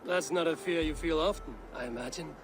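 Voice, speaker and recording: An actor playing a steadfast young Viking warrior, confident and dry, in a video game cutscene. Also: male voice, a little high, fairly quick, background noise, natural voice. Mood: wry.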